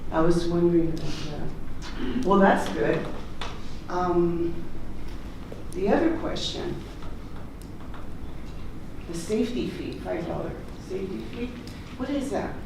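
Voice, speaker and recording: A woman with a loud voice giving informal public comment as a resident, plain-spoken and direct. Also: on the low side, slow pace, long pauses, recorded from a distance. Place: council chamber at city hall. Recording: echoey meeting room from afar, clear noise.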